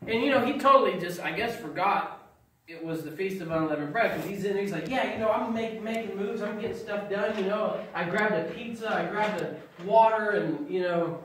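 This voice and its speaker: A middle-aged man doing folksy stand-up storytelling, getting loud and emphatic at the punchlines. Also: high voice, brisk, slight background noise. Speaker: stand-up comedian. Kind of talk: stand-up comedy at a congregation event. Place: small fellowship hall with audience. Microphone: far camera, no mic. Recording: echoey hall recorded from far off with audience laughter.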